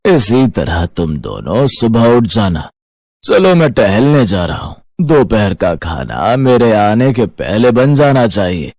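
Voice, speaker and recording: A man's voice: lowish voice, darkish tone, no room sound, studio quality, exaggerated voice. A man voicing a demanding old father-in-law, grumbling and bossy, in a cartoon moral story. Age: older. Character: demanding old father-in-law, strict, self-satisfied patriarch.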